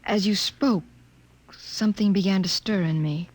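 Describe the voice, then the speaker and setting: An actress playing an earnest, troubled young woman scientist in a 1950s radio drama, with a breathy voice and halting delivery. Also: mid-range voice, natural voice. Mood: wondering, tender.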